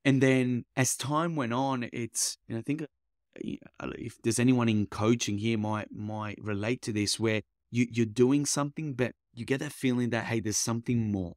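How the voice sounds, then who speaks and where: A man's voice: medium pitch, fairly even intonation, moderate pace, darkish tone, no echo, clean studio sound. A young man who grew up in Italy, a coach talking casually as a podcast guest, with many fillers and restarts. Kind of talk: casual interview chat.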